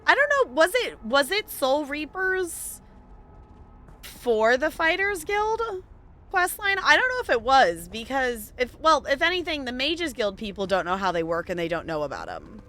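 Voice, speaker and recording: A woman's voice: high-pitched, very animated, normal speed, sharp tone. A woman streamer chatting playfully and rambling through casual live commentary on a game.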